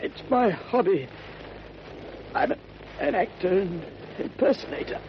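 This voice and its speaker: Faltering, high-voiced frightened crook. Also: male voice, lively intonation. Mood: dying, gasping. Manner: halting, faltering, pleading. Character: frightened, weak male crook pleading for his life. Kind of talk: old-time radio drama acting. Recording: noisy, muffled vintage radio recording.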